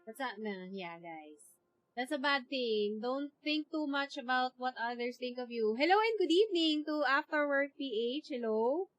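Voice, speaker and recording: A Filipino woman hosts a casual game stream in a high voice. She reacts aloud to what happens, slipping between two languages. Light music plays in the background. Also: animated, moderate pace, fairly bright tone.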